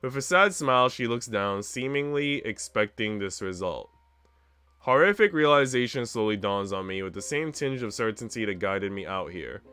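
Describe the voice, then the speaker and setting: A man's voice: lowish voice, lively intonation, normal speed, bright, forceful tone, smooth, no echo, soft background music. A man streaming a visual novel, reading its narration aloud expressively with casual commentary.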